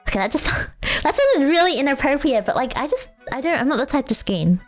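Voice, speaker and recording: A woman's voice: high-pitched, very animated, fairly quick, soft background music. A young woman streaming a casual chat with viewers, rambling and self-mocking with lots of fillers and frequent laughter. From Australia.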